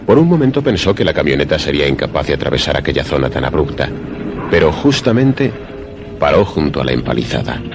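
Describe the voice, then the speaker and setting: Super deep guy narrating a radio thriller, measured storytelling, music going behind him. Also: big pitch swings. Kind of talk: scripted suspense radio drama narration.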